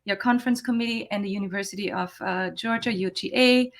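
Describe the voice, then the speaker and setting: Earnest woman giving keynote online. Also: mid-range voice. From Austria. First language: German. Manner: earnest, emphatic, halting with restarts. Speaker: keynote speaker. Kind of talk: academic keynote address. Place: remote, online conference. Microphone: video call.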